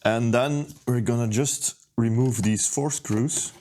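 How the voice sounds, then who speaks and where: A man talking through a hands-on repair at his workbench, unhurried and thinking aloud with plenty of ums and uhs. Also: mid-range voice, dark tone, a little hiss or hum. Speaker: repair video host. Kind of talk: casual how-to narration.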